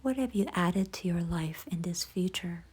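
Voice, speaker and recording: A woman leading a guided visualization in a soft, hushed, breathy voice, gentle, unhurried and soothing. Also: fairly low pitch. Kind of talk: slow guided meditation narration.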